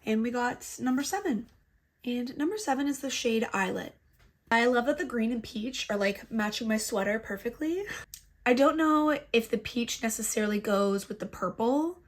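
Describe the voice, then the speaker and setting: A woman's voice: on the high side, expressive, normal speed. Stuffy-nosed chatty woman vlogger. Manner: chatty and self-deprecating. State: congested, stuffy nose. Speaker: beauty vlogger. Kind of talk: casual makeup tutorial chat. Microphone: laptop built-in mic.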